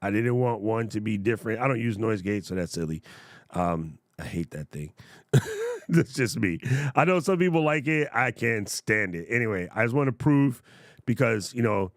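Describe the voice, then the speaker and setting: A man hosting a casual livestream, demonstrating gear in a playful, jokey way and chatting with viewers in a naturally loud voice, close on a studio mic. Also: fairly low pitch, big pitch swings, moderate pace, no room sound. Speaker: tech reviewer livestream host. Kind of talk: casual livestream product demo. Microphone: studio broadcast mic up close.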